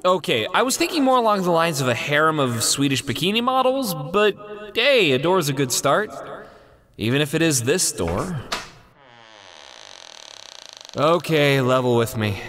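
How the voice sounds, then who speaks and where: A man's voice: a little high, very animated, normal speed, crowd noise. A man playing a fearless, sarcastic ghost-hunting scientist in a comic dub, glib and casual with an American accent. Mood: exasperated, sarcastic. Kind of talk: comic dub character acting.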